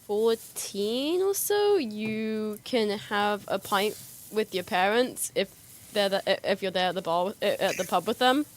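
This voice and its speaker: A woman's voice: medium pitch, lively intonation, takes it slowly. A woman chatting casually as a radio guest, in informal, tentative, pieced-together phrases. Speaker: radio guest and amateur actress. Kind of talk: casual chat.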